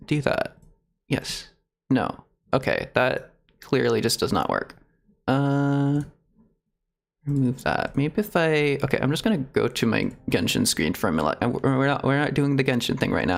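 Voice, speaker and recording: A man chatting casually on a livestream in a halting, rambling, giggly way. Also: medium pitch, creaky. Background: video game music.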